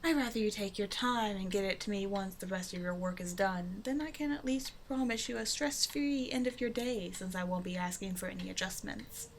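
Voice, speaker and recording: A woman's voice: mid-range voice, fairly quick, few pauses, a little hiss or hum, lightly acted. A woman playing a flirtatious, self-assured supervillain in an intimate roleplay audio drama, talking casually and teasingly to the listener. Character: flirtatious female supervillain, a demanding but generous tailor's customer.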